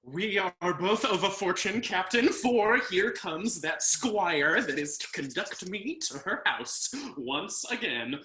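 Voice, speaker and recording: An actor playing a smug, good-humoured English gentleman gamester in a Restoration comedy, jovial and self-satisfied. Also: sits high, expressive, takes it slowly, few pauses, roomy sound, exaggerated voice. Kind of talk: Restoration comedy stage acting.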